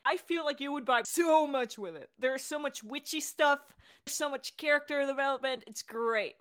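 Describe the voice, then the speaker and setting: A woman's voice: high-pitched, moderate pace, a bit bright. A young adult woman chatting casually as a podcast director and co-host in a creator Q&A.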